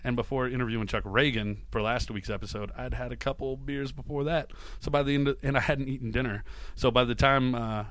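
A man's voice: medium pitch, steady tone, a little fast, few pauses, a bit bright. A man hosting a podcast, rambling casually through an introduction in an informal, self-deprecating way. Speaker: podcast host.

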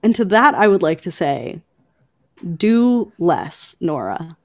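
A woman co-hosting a podcast, talking through book news conversationally with hesitant pauses as she picks her words. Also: fairly low pitch, sing-song, no echo, studio quality. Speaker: podcast co-host.

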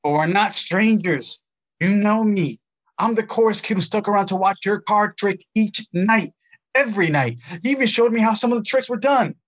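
An actor playing a young, homeless chorus actor from Arizona, earnest and pleading, in an audio drama. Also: male voice, high voice, lively intonation, moderate pace. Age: young adult. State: shivering from cold.

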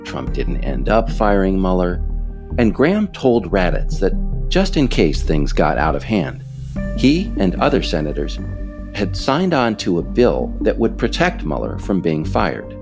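Deep-voiced man in creaky scripted narration. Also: wildly swooping pitch, measured pace, music in the background. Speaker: author and podcast narrator. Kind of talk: scripted narration of political history.

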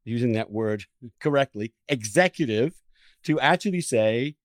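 A man's voice: medium pitch, animated, moderate pace, dry recording, studio quality. A man hosting an interview in an impassioned conversational style, stressing his points emphatically with repeated words and piled-up phrases. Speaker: podcast host interviewing a guest.

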